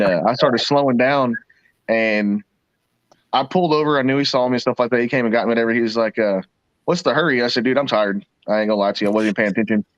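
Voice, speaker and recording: A man's voice: on the low side, no room sound. A man from Texas telling blunt, profane driving stories in casual car talk. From Texas, Dallas-Fort Worth area. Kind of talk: casual storytelling. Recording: slightly dull dry line.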